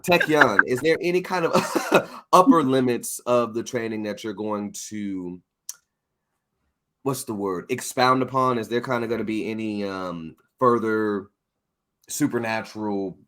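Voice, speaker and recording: A man hosting a casual interview, talking in an animated, rambling, slangy way. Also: on the low side, a little slow. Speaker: interviewer and host of a comics breakdown show.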